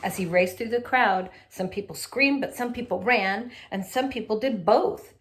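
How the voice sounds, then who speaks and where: A woman reading a children's picture book aloud in an animated, expressive way, adding little asides for young listeners. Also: medium pitch, moderate pace.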